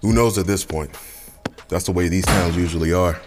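A man's voice: sits low, moderate pace, music in the background, natural voice. An actor playing a resolute, militant male activist leader in an audio drama, speaking assertively. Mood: calm, grim. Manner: assertive, declarative.

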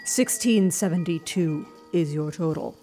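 A woman's voice: fairly low pitch, a little slow, warm tone, faint music behind, close to an everyday voice. A woman voicing an eerie, formal diner hostess in an audio drama, speaking stiffly and in clipped phrases. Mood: eerily formal.

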